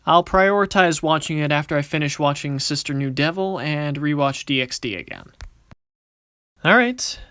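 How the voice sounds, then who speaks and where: A man's voice: a little high, lively intonation, moderate pace, sharp tone, clean tone, dry recording, studio quality. A man voicing a confident, wisecracking young card duelist in an anime fan production, casual and theatrical. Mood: playful. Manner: casual, wry, theatrical when announcing moves.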